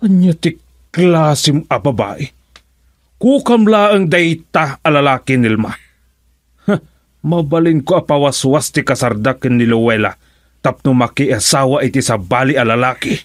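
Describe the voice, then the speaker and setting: A man's voice: medium pitch, unhurried, dry recording, clean studio sound, close to an everyday voice. An actor playing a stern, angry older father of wealth in a radio drama, scolding and indignant. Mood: angry, accusing.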